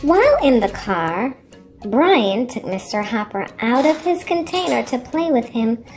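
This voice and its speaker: Female read-aloud narrator for kids, slow and expressive, swooping intonation, over background music. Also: a little high. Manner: expressive, deliberate, instructive. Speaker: storyteller and reading teacher for children. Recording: music playing behind.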